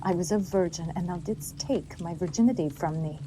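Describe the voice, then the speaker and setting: A woman's voice: on the low side, a little fast, mellow, a little hiss or hum, lightly acted, rough recording. A woman playing an obsessive, lovestruck young princess, cruel and bereft, in a theatrical classical audio drama. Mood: wounded, passionate.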